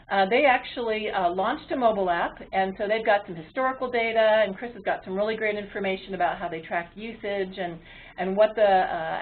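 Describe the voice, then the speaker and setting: A woman presenting a webinar as its moderator, explaining to an online audience in a halting delivery with frequent ums and uhs. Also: medium pitch, a little hiss or hum. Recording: muffled, phone-like webinar audio line.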